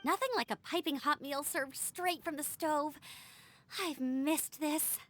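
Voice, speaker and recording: A woman's voice: sits high, a little slow, faint music behind. A woman voicing a cheerful young female scout knight who talks in a chatty, upbeat way.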